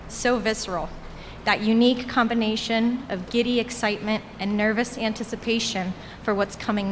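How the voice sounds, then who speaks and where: A woman's voice: on the high side, a bit bright. A woman in her late twenties whose first language is Portuguese, giving an earnest, heartfelt graduation speech from a podium. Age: late 20s. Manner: earnest, heartfelt, measured delivery. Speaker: graduation speaker. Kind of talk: commencement speech. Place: graduation ceremony venue. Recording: reverberant hall with faint ambient noise.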